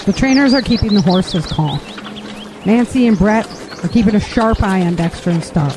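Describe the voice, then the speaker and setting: An actress playing a reassuring, resolute mother, earnest and gentle, in an audio drama. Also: mid-range voice, moderate pace, close to an everyday voice. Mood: concerned. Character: reassuring, resolute mother leading her family. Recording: noisy outdoor ambience with birds.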